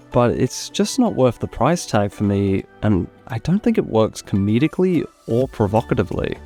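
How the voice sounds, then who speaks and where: A man hosting a gaming video, narrating a review in an expressive, opinionated way into a close mic. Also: mid-range voice. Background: background music.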